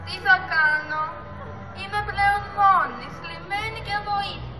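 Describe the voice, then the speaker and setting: Teen girl doing anxious young sea turtle, really high voice, earnest puppet show acting, music behind. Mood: sad, resolute. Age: teenager. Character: young female sea turtle, anxious, loyal, determined sister. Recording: echoey hall, music and audience noise.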